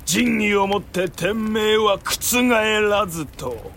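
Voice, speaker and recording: A man's voice: high-pitched, expressive, slow, creaky, a bit bright, a little hiss or hum, full character voice. A man playing a vengeful, majestic exiled emperor, chanting solemn menace in a Japanese historical audio drama. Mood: vengeful, menacing.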